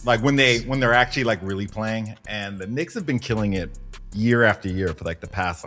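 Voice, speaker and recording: A man's voice: fairly low pitch, a little fast, fairly bright tone, faint music behind. A man co-hosting a podcast, talking in a casual conversational style. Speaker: podcast co-host. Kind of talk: casual chat.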